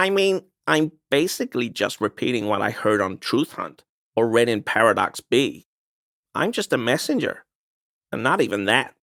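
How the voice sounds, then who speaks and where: A man's voice: medium pitch, a bit bright, dry recording, studio quality. An actor playing a smug, paranoid conspiracy-peddling man in a video game, explaining things in a pedantic, insinuating, mock-apologetic way. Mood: conspiratorial, evasive.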